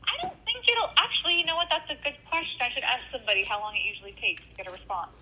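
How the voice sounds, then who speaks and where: A woman working a helpline, speaking gently and hesitantly with many fillers on a phone call. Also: sits high, sing-song, rapid-fire. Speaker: helpline worker.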